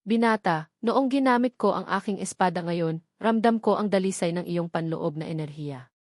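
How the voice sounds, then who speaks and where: A woman's voice: on the low side, moderate pace, darkish tone, dry recording, studio quality, natural voice. A woman voicing a cold, proud old male swordsman hermit in an audiobook, stern and haughty.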